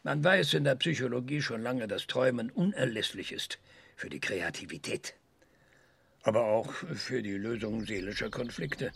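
A man playing a self-assured research scientist in a radio drama, lecturing emphatically with dramatic pauses. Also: medium pitch, lively intonation, gravelly, fairly bright tone, husky, full character voice. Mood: enthusiastic, lecturing. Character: excitable, self-assured male research scientist giving an interview.

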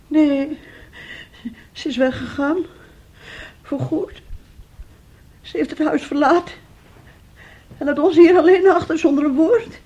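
An actress in a radio drama playing a distraught, tearful mother abandoned by her daughter, speaking haltingly and repeating her words. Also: high voice, dark tone.

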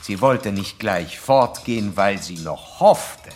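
An actor playing an insistent psychoanalyst who lectures a patient in formal, didactic, old-fashioned German radio drama style. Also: male voice, lowish voice, animated, takes it slowly, darkish tone, a little hiss or hum. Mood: probing. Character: male psychoanalyst doctor, insistent, certain, lecturing his young patient.

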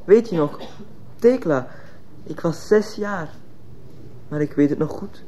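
High-voiced defiant teenage boy. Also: lively intonation, slow, warm tone. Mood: defiant, resentful. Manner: defiant, reproachful. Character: defiant, resentful teenage boy, protective of girls. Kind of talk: radio drama acting. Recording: muffled old radio play, faint noise.

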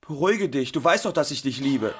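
An actor playing a meek, humiliated, doting husband in a radio play, with a plaintive, self-pitying manner. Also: male voice, mid-range voice, expressive, fairly quick, noisy background, natural voice. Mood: pleading tenderness.

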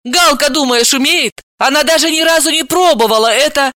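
A woman's voice: high-pitched, brisk, bright, forceful tone, dry recording, studio quality, pitched above the performer's own voice. A woman voicing a hot-tempered, sarcastic, exasperated young woman in an audiobook, snappish and indignant. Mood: sarcastic indignation. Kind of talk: audiobook character acting.

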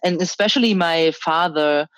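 A woman's voice: lowish voice, normal speed, smooth, no echo. A young woman from Germany talking about her identity as an interview guest, hesitant with many restarts and fillers. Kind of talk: casual interview talk.

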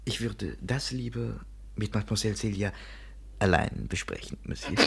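An actor in a 1950s German radio play playing a vain, scheming young painter with grandiose, flowery speech. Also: male voice, on the low side, moderate pace, vocal fry, very noisy. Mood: ardent, theatrical. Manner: grandiose, flowery, self-important. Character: vain, scheming young male French painter, theatrically charming.

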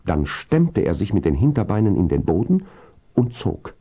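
A man narrating a 1970s children's audio drama in a measured, descriptive storytelling manner. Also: sits low, big pitch swings, dark tone, breathy edge, heavily acted.

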